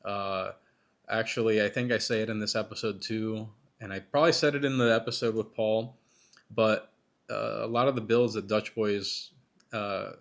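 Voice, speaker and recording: Hoarse low-voiced man, rambling podcast host. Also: a bit bright. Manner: rambling, self-critical, many restarts and fillers. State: losing his voice, getting over being sick. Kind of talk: casual solo commentary between interview segments.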